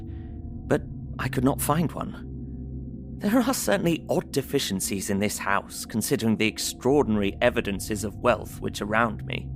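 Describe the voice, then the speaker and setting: A man's voice: mid-range voice, lightly acted. An actor playing a polite, earnest young English solicitor narrating his journal in an audio drama with formal, precise diction.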